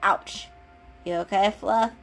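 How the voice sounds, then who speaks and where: A woman's voice: medium pitch, steady tone, takes it slowly, creaky, a bit bright. A woman voicing a bold, mischievous young male kitten in a storybook reading, eager and playful. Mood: encouraging. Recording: music under the voice.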